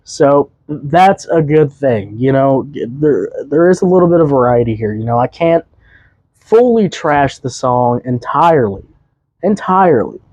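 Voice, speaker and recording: A young man hosting a casual music review podcast, his voice a bit hoarse, rambling loosely with fillers and restarts. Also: medium pitch, lively intonation, measured pace, a little mellow, dry recording, lo-fi sound. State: voice slightly hoarse.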